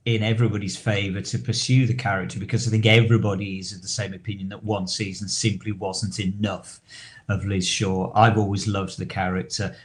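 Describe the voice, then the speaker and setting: A man co-hosting a podcast in casual discussion, talking wordily and haltingly as he repeats and rephrases himself. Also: fairly low pitch. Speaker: podcast co-host.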